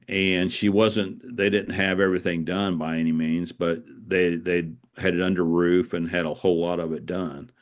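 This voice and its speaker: Deep-voiced man explaining in an interview. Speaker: author being interviewed.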